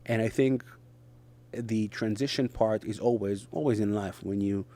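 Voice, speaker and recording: A middle-aged man from Israel, a native Hebrew speaker, talking in English as an interview guest in short, halting phrases with frequent restarts. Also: fairly low pitch, fairly even intonation, dark tone. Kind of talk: conversational interview.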